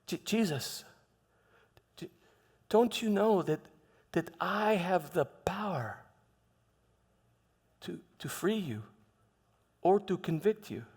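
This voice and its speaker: A man performing a live theatrical monologue as a proud, troubled Roman governor, halting and repeating his words with defensive frustration. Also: sits high, lively intonation, husky, heavily acted. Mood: hushed, tense. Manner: halting, repeats words, swings from hushed to shouting. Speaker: preacher performing a dramatized monologue. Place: large church hall, live performance. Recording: echoey hall with long reverb.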